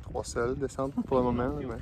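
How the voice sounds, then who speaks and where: A man's voice: medium pitch, flat delivery, quick pace, crowd noise. A middle-aged man with a Quebec French accent chatting casually in a travel vlog, dropping in English words. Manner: informal, mixes in English words. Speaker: travel vlogger. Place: outdoors at a mountain resort. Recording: music laid over voice, outdoors.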